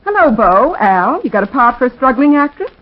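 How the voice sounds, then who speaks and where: An actress playing a wistful widowed actress who talks frankly and earnestly with old friends, in 1940s radio drama style. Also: female voice, high voice, big pitch swings, a little fast. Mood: light, playful. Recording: muffled 1940s radio recording.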